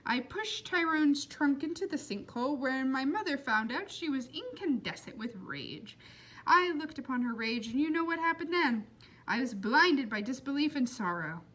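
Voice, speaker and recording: A man's voice: extremely high pitch, very animated, normal speed, bright, forceful tone, heavily acted. A woman voicing an earnest, tearful boy with wounded pride, speaking in precise, formal phrases for a storybook. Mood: wounded, sorrowful.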